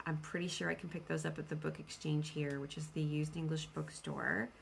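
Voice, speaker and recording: A woman's voice: sits low, little pitch movement, fairly quick, vocal fry, a little hiss or hum. A woman talking casually to her viewers as a vlog host, rambling and thinking aloud as she goes. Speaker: host of a book channel.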